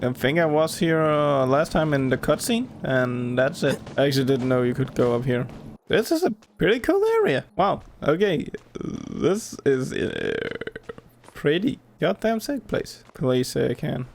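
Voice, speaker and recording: A man's voice: mid-range voice, big pitch swings, a little slow, creaky. A man giving casual, offhand commentary while he plays a video game. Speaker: gameplay streamer.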